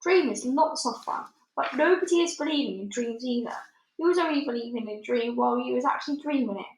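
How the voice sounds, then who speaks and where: Woman voicing a gentle, gloomy giant, high-pitched. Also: quick pace, echoey room, close to an everyday voice, low-quality recording. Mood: doubtful, dismissive. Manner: garbled, ungrammatical folksy speech. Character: big friendly male giant, gloomy and gentle.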